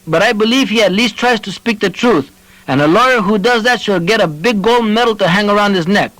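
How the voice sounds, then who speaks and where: A man's voice: sits high, brisk, a bit bright, no echo, voice pushed up, rough recording. A man voicing an emotional, indignant old villager in an audiobook, earnest and pleading as he stammers out his piece.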